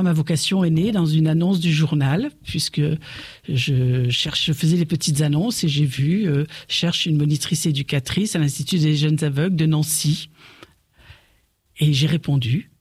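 A woman in her sixties from Lorraine, a nun, recalling her calling in a gentle, hesitant way with frequent restarts. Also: low-pitched, husky, no room sound. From Lorraine, eastern France. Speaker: nun, interviewee. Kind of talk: reflective personal testimony.

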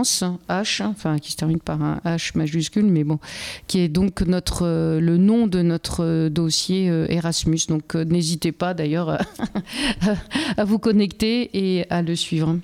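Middle-aged lady guest on a chat show, smooth clear voice, lots of umms and restarts. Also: lowish voice, steady tone, a little slow, darkish tone. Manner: hesitant, many fillers and restarts. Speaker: interview guest, Erasmus project member. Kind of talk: casual interview conversation.

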